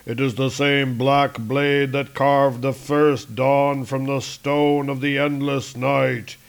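A man voicing an ancient, ominous male cosmic world-eater from a comic, speaking slowly and gravely. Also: medium pitch, flat delivery.

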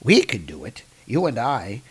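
A man's voice: on the low side, big pitch swings, moderate pace, breathy edge, full character voice, rough recording. A man voicing an old male wizard who earnestly pleads for peace. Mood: earnest, urging.

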